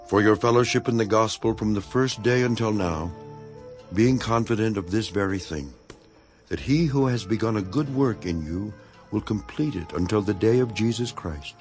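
An actor playing an earnest, devoted imprisoned apostle in a dramatized scripture reading, speaking in a solemn, measured manner. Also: male voice, on the low side, close to an everyday voice. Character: Apostle Paul, imprisoned, earnest, devoted elder writing to his flock.

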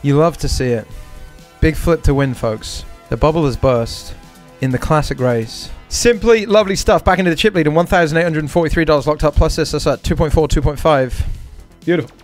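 Faint music plays behind a man with a fairly high-set voice. He hosts a live poker stream and commentates on each decision as he goes. He leans on short, repeated catchphrases between his explanations. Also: fairly quick. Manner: talks through hands aloud, repeats short catchphrases.

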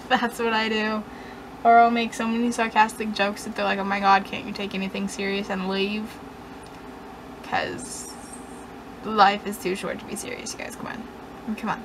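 A woman's voice: mid-range voice, flat delivery, a little slow, pauses often, background noise. A young woman vlogging from her bedroom, rambling in a sarcastic, self-mocking way. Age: young adult. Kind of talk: casual chat to camera. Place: dim bedroom, indoors.

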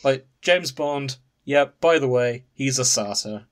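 A man's voice: medium pitch, measured pace. A man podcast host casually recounting a story to friends in an amused, wry manner. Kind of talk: casual chat, recounting fan fiction.

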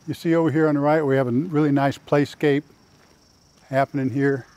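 A man's voice: medium pitch, fairly even intonation, fairly quick, darkish tone, smooth, highs cut off. An older man hosting a casual walking tour outdoors on a lapel mic, folksy and plain-spoken. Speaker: vlog host and tour guide. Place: outdoors in a park.

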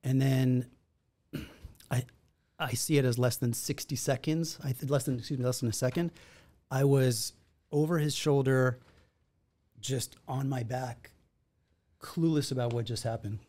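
A man in his forties from Israel, a podcast guest, telling his story in a reflective, measured way at a studio mic. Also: medium pitch, steady tone, warm tone. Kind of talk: conversational storytelling. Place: podcast studio in a martial arts gym. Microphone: boom-arm studio mic with headphones.

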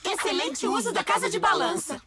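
A woman's voice: very high voice, lively intonation, brisk, very noisy. A woman voicing a bossy, scheming girl scientist with an imperious, dramatic manner in a cartoon dub. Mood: alarmed, dramatic.

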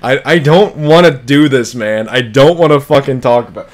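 Young man, medium pitch, casual commentary, blunt, frequent swearing, close desk mic. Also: normal speed. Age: young adult. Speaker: video reviewer of horror series. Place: bedroom, indoors. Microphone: close desk microphone, with headphones.

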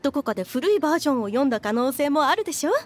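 A woman's voice: high-pitched, lively intonation, racing. A woman playing a refined, guarded, controlling motherly woman, speaking in a polite, ladylike way in an anime game. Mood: defensive. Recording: background music under the voice.

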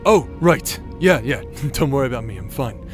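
A man's voice: medium pitch, lively intonation, moderate pace, over music, lightly acted. A man playing a cocky, foul-mouthed young rogue in a fantasy audio drama, with a casual, sardonic way of talking. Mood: evasive, awkward. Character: cocky, foul-mouthed, teasing brother hiding vulnerability. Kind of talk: anime fantasy audio roleplay acting.

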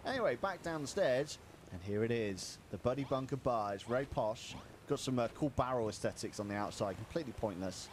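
A man's voice: medium pitch, expressive, brisk, hardly any pauses, a little hiss or hum. A young man co-hosting a casual game stream from a small room at home, jokingly guiding viewers around a build as he plays. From United Kingdom. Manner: joking, chatty tour guide. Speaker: streamer co-host.

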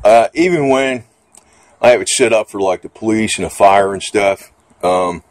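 A man's voice: lowish voice, normal speed, dry recording. A middle-aged man chatting casually and unscripted about gear, rambling in a plain-spoken, salty way. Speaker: vlogger reviewing gear. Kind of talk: casual, unscripted product chat. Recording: steady background noise.

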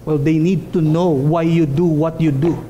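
Male preacher, thirties, high mellow voice, earnest, repeats lines for stress, headset mic in a small church hall with faint room hum. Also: steady tone, normal speed, muffled recording. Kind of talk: sermon.

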